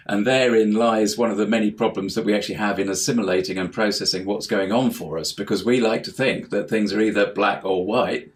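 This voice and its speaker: A man talking as an interview guest over an online call, explaining his ideas in a hesitant, digressive way, often repeating words. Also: on the low side. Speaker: interview guest, house healer and life coach.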